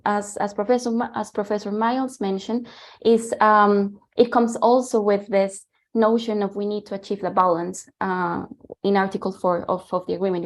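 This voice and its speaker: A woman from Colombia with a Colombian Spanish accent, a native Spanish speaker giving a guest lecture over a video call. She explains briskly while she shares her slides, with frequent ums. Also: mid-range voice. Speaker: guest lecturer, former climate negotiator.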